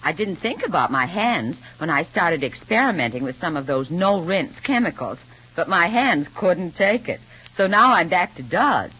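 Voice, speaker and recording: A woman's voice: on the low side, sing-song, normal speed, bright, forceful tone, slightly rough. A woman giving a scripted testimonial in a 1950s radio advertisement. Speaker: soap commercial testimonial speaker. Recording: dull vintage radio recording.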